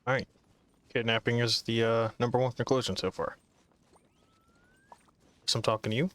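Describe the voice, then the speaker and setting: A man's voice: mid-range voice, level tone, normal speed, a bit bright, dry recording. A man giving casual, offhand commentary as he plays a game. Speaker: game streamer.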